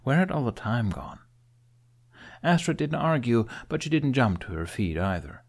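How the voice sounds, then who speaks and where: A man's voice: mid-range voice, big pitch swings, normal speed. A man reading scripted fantasy narration as an audiobook narrator.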